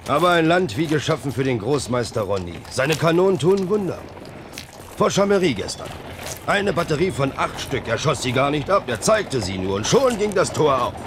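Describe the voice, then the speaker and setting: An actor playing a gruff, practical soldier gossiping on campaign in a German radio play. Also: male voice, fairly high pitch, big pitch swings, moderate pace, noisy background. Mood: matter-of-fact. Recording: 1970s radio drama, faint outdoor ambience.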